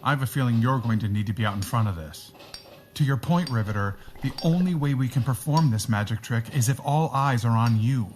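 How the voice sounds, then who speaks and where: An actor playing a suave, wry and teasing sidekick in a pulp radio drama. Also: male voice, medium pitch, brisk, slight background noise, lightly acted. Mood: jaunty.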